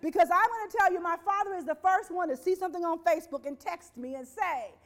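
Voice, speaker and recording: Woman presenter, high voice, rhetorical and repetitive, quizzes the audience. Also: fast. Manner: rhetorical, repeats phrases, asks the audience questions; voices quoted people with lively shifts. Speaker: speaker on workplace diversity.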